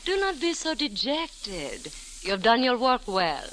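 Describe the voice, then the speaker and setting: A crisp-edged, very animated female spymaster, scheming and condescending. Her phrasing is formal and silky, in old radio-thriller style with a faint hiss. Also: a little high, measured pace, low-quality recording. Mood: smooth, condescending. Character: alluring, scheming female spymaster, coolly mocking. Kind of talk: 1940s radio acting.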